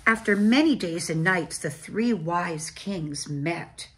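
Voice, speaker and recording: Slow-paced woman reading a children's story. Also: fairly low pitch, expressive, a bit bright, slight background noise. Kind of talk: read-aloud children's storybook narration.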